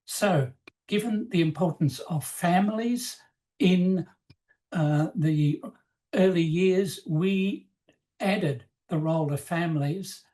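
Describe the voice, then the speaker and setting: A woman presenting in a webinar, explaining slides in a measured, formal, semi-scripted way. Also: fairly low pitch, warm tone, low-quality recording.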